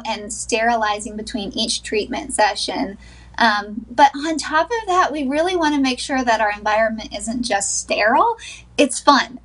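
A woman in her thirties, an occupational therapist, speaking earnestly and upbeat as a guest on a morning show, joining by video call from a small wood-paneled room. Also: fairly high pitch. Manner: earnest, upbeat, laughs between points. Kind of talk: sponsored TV interview, remote.

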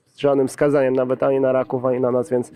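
A man's voice: medium pitch, monotone, fast, dark tone. A man in his thirties, a footballer giving a post-match interview into a handheld mic outdoors, talking with many fillers and restarts. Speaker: football player. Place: pitchside interview backdrop at a stadium, outdoors. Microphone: handheld reporter's mic.

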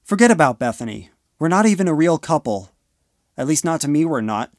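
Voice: medium pitch, big pitch swings, fairly quick, darkish tone, no echo, studio quality. Lovestruck, sincere teen boy played by an actor, very varied intonation, amateur audio drama. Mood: insistent. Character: lovestruck, earnest sixteen-year-old boy.

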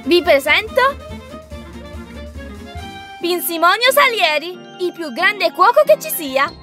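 A spirited cartoon teacup girl , played by a woman, plucky and emphatic. Her voice is extremely high and bright, like a storybook heroine. Light music runs behind it. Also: sing-song, slow pace, exaggerated voice. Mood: proud. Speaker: heroine in a fan dub. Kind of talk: theatrical cartoon dubbing. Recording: music under the voice.

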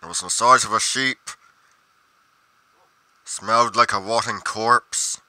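A man's voice: fairly low pitch, takes it slowly, sharp tone, no room sound, heavily acted. A man playing a pedantic, musing paranormal investigator narrating his journal theatrically in a game roleplay, pompous and dramatically emphatic. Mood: grave, dramatic.

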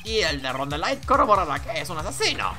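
A man's voice: on the high side, very animated, fast talker, sharp tone, soft background music, full character voice. A man voicing a theatrical, self-important male detective who gloats and boasts in a courtroom video game. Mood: gloating. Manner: flamboyant, boastful.

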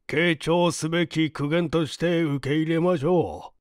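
A man voicing a stubborn, earnest older businessman with formal, old-fashioned, deliberate speech in an audiobook drama. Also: a little high, fairly even intonation, no echo, clean studio sound, natural voice. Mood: earnest, reflective.

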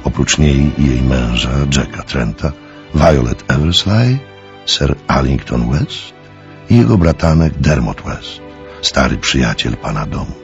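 Very deep gravelly male narrator. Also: sing-song, unhurried. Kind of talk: dramatic scripted audio drama narration. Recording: slightly muffled, music underneath. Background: music.

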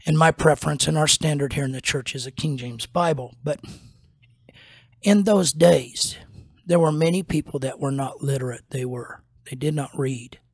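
An older man, a pastor and podcast host, teaching in a slow, rambling way with many fillers and restarts, on a studio mic in a small wood-paneled room. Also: a little high, vocal fry, husky, no room sound. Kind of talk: unscripted teaching talk. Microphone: studio mic on a boom arm.

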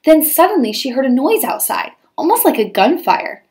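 A woman teacher reading a children's storybook aloud with expressive, dramatized storytelling. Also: high-pitched, moderate pace.

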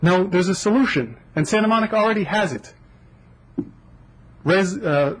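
Insistent younger man with a husky voice. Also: high-pitched, low-quality recording. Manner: earnest, insistent, lists facts. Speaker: public commenter. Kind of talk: public comment at council meeting. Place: city council chamber. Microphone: podium microphone.

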